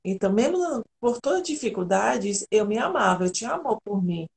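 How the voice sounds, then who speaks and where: A woman's voice: mid-range voice, big pitch swings, a little fast, gravelly, a little mellow. A woman from Argentina, an integrative therapist, telling her personal story earnestly and candidly as a guest on a live video call.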